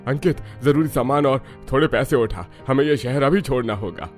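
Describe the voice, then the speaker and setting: A man's voice: mid-range voice, normal speed, faint music behind, lightly acted. A man acting a worried, grave older father in a dramatized family story, with dramatic delivery. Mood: urgent.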